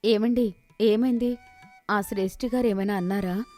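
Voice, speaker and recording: A woman's voice: medium pitch, steady tone, moderate pace, mellow, soft background music, close to an everyday voice. A woman voicing a poor gardener's supportive wife in a moral story, respectful and earnest. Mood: concerned. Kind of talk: dramatised moral storybook dialogue.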